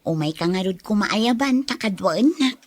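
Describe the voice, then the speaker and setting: A woman's voice: mid-range voice, animated, normal speed, dry recording, close to an everyday voice. A woman acting a troubled young daughter in love in a radio drama. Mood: concerned.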